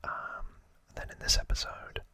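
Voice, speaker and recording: A man's voice: measured pace, pushed and bright, no echo. Whispering man, ASMR trivia host.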